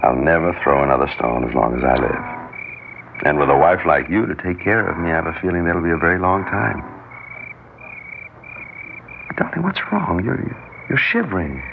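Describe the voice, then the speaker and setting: An American actor playing a tender, carefree young fiance in love in a 1960s radio drama. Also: male voice, deep voice, lively intonation, moderate pace, vocal fry, long pauses. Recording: narrow, noisy vintage radio recording.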